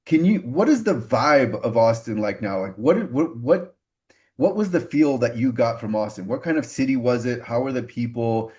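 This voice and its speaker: A man's voice: mid-range voice, expressive, normal speed, darkish tone. Rambling male podcast host. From Texas. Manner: rambling questions with fillers and restarts. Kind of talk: casual podcast interview.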